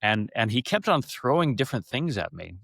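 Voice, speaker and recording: A middle-aged man telling stories from his life as an interview guest, casual, animated and rambling. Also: medium pitch, fairly quick, creaky, no room sound. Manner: animated, rambling, acts out quoted speech. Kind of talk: casual conversational storytelling.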